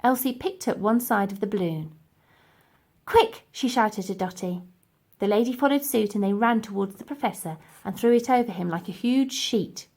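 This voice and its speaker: A woman's voice: medium pitch, brisk, darkish tone. A woman narrating a children's storybook expressively as its reader.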